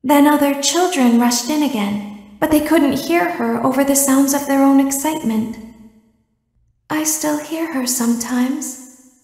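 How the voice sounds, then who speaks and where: A woman voicing an eerie, childlike animatronic girl in a video game, wistful and sinister, speaking in a measured, detached way with deliberate pauses. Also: high voice, darkish tone. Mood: wistful, haunted. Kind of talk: video game character monologue. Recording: echoey hall with long reverb.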